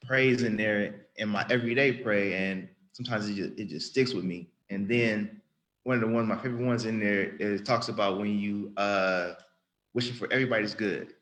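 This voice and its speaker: Man, about thirty, slightly low voice, earnest church testimony, rambling, repeats himself. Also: fairly even intonation, normal speed, fairly bright tone. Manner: earnest, rambling, with frequent restarts and repetition. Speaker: church member giving testimony. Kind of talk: spontaneous spoken testimony.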